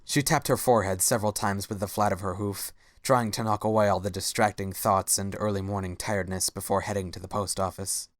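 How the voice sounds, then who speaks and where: Low-voiced male story narrator. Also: no echo, studio quality.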